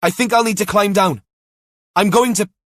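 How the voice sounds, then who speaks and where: A man's voice: high-pitched, normal speed, a bit bright, dry recording, clean studio sound, pitched above the performer's own voice. A man voicing a plucky, respectful male junior police officer in an audiobook. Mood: tense, reporting. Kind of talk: audiobook character dialogue.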